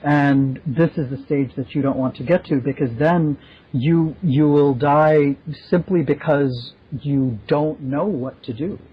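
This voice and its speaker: A man's voice: fairly high pitch, fairly even intonation, unhurried, slightly rough. A man co-hosting a podcast, chatting casually and telling stories. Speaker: podcast co-host. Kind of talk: casual animated storytelling. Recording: dull sound with faint noise.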